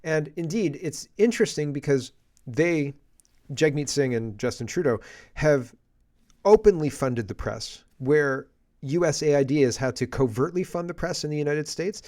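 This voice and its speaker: A man's voice: fairly high pitch, lively intonation, normal speed, a little mellow. A Canadian man hosting a political podcast, giving casual, blunt and scornful commentary on the news in colloquial language.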